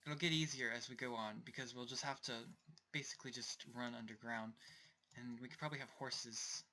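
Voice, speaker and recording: A young man casually narrating his game as he plays, rambling and talking to himself, his voice going a little hoarse. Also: mid-range voice, fairly even intonation, a little fast, bright, forceful tone. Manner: rambling, self-talking, distracted asides. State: voice getting hoarse. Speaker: let's play host. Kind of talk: casual gameplay commentary.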